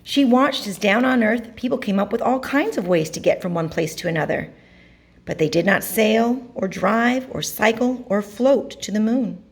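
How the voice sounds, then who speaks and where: A woman reading a picture book aloud to children, narrating expressively with dramatic pauses and emphasis. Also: medium pitch, animated, gravelly, husky. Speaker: storybook reader.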